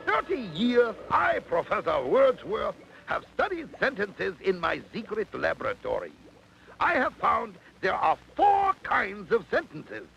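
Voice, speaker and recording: An eccentric cartoon mad scientist with a very high, swooping voice. He lectures grandly and slowly, savoring his discoveries with theatrical excitement. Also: gravelly, a little hiss or hum, exaggerated voice, low-quality recording. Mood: grand lecturing. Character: excitable eccentric mad scientist professor inventing word rules.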